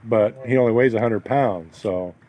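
A man's voice: fairly low pitch, moderate pace, darkish tone. A middle-aged or older man in casual conversation, telling things in a folksy, rambling way. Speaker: vlogger, expatriate farmer. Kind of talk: casual chat. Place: outdoors at a waterside building site. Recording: noisy outdoor recording, ambient background noise.

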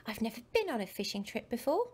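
A woman's voice: a little high, very animated, fast talker, darkish tone, husky. A woman voicing a young elephant boy in a bedtime storybook, childlike and insistent. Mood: eager. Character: young male elephant child, stubborn then friendly.

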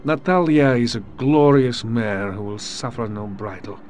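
A man's voice: mid-range voice, measured pace, darkish tone, soft background music, natural voice. A man playing a sly Russian KGB agent. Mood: conspiratorial. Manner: formal, poetic, conspiratorial. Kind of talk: video game cutscene acting.

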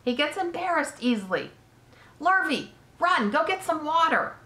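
A woman voicing a flustered, excitable male farmer from a storybook, hollering in exclamations. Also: high-pitched, takes it slowly, voice pushed up, heavily acted. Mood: anxious, insistent. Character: excitable, flustered farmer man, proud of his pig.

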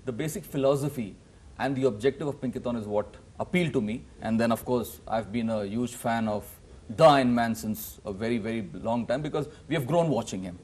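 A man's voice: medium pitch, a little fast, darkish tone, husky. A middle-aged man from Nepal, a guest on a studio talk show, speaking earnestly about organizing an event. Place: TV studio set.

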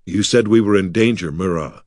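A man's voice: deep voice, normal speed, darkish tone, dry recording, studio quality. A man voicing a dazed, wary young adventurer in an audiobook. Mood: tense. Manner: tense, whispering at times. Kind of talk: audiobook character voice.

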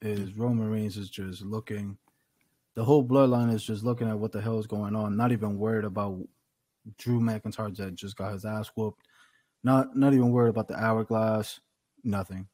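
Emphatic American man, husky and close-miked. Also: fairly low pitch, fairly even intonation, normal speed, warm tone, no echo. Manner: emphatic, opinionated, slangy. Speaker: podcast co-host. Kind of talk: casual wrestling review chat. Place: small room at home, on a video call. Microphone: desktop condenser microphone, close.

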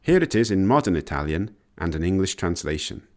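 A man reading scripted educational narration in a measured, explanatory way. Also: sits low, big pitch swings, a little mellow. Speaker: narrator of an educational language history video.

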